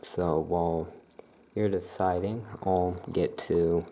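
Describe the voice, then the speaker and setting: A soft-spoken ASMR performer talking in a hushed, unhurried way with long pauses, playing a calm witch shopkeeper showing wares. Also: sits low, slight background noise.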